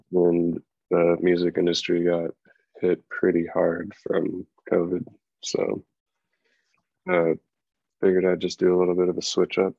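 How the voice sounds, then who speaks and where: A young man from Colorado introducing himself on a video call from a small room at home, low-key and unhurried. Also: vocal fry, a little mellow, slightly rough, no echo. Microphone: video call, laptop mic. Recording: dull lo-fi video call audio.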